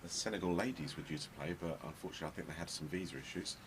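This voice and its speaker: A man's voice: sits low, rapid-fire. A man with a Belgian accent commentating live on a pool match, switching between English and French with a wry manner. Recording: noisy live stream commentary.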